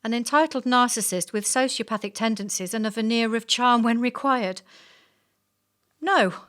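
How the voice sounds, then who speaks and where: A woman playing a cautious, polite supervising examiner in a stage play, speaking in measured official phrases with quiet firmness. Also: a little high, lightly acted. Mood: composed, dry.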